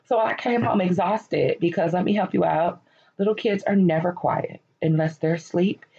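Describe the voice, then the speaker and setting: A woman in her late thirties talks candidly and encouragingly on her podcast, her voice hoarse and cracking. It is husky and full of gravelly fry. The recording sounds muffled and lo-fi. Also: fairly low pitch, moderate pace, mellow. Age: late 30s. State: losing her voice, slightly cracking. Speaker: podcast host. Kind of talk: personal reflective monologue. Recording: lo-fi, dull recording.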